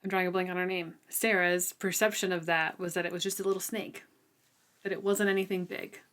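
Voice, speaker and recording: A woman co-hosting a casual book discussion, talking in an informal, thoughtful way with halting phrases. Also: on the low side, level tone, fairly bright tone. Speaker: book podcast co-host.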